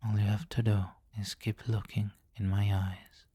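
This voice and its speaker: A man voicing a possessive, seductive vampire king in an intimate ASMR roleplay, speaking formally and deliberately and often dropping into a whisper. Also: on the low side, level tone, warm tone, slightly rough, dry recording. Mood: soothing, teasing. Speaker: audio roleplay performer.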